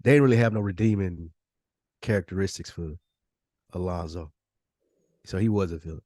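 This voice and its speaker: A man's voice: deep voice, moderate pace, a little mellow, dry recording, clean studio sound. An American man co-hosting a podcast in casual, slangy chat, with a blunt, emphatic way of talking. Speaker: podcast co-host.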